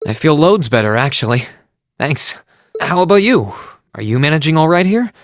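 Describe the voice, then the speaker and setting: An actor playing an earnest, kind teenage hero in an anime game dub, sincere and considerate in manner. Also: male voice, on the high side, very animated, normal speed, no room sound, clean studio sound. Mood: friendly, concerned.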